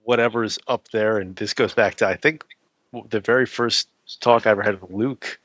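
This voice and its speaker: A man's voice: on the low side, steady tone, normal speed, a bit bright, no echo. A man chatting casually as a guest on an interview, informal and jokey as he explains things through examples. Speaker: podcast guest, adventure novelist.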